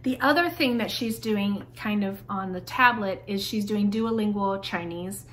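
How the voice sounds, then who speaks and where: A woman's voice: medium pitch, a little slow, fairly bright tone, slight background noise. A woman in her thirties casually reviewing her daughter's homeschool curriculum to camera, chatty and friendly, speaking from a home schoolroom. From Taiwan. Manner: chatty, friendly, frequent "like" fillers. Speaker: homeschool vlogger. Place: home schoolroom with bookshelves, indoors.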